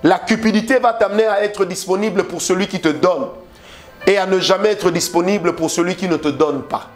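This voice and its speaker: Male preacher, Congolese French accent, high voice, forceful sermon delivery, faint music underneath. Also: brisk. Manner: emphatic preaching with repeated phrases and rhetorical questions.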